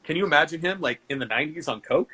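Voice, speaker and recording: A man's voice: fairly high pitch, animated, fast, sharp tone. A man talking as a guest in a casual interview, joking and gossipy, with many fillers and restarts. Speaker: guest performer.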